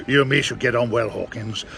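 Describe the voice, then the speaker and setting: An actor playing a jovial, crafty old one-legged sailor and innkeeper in an audio adventure, with a gravelly voice and hearty seafaring dialect. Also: male voice, medium pitch, pushed and bright, exaggerated voice. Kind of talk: dramatised audio adventure acting.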